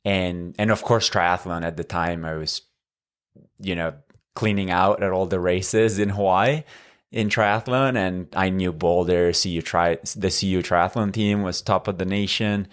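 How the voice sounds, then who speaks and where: A man in his thirties from Northern Italy, speaking English with an Italian accent as a podcast guest, measured and full of fillers. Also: sits low, steady tone, clear, resonant tone. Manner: measured, with frequent fillers and restarts. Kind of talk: conversational interview.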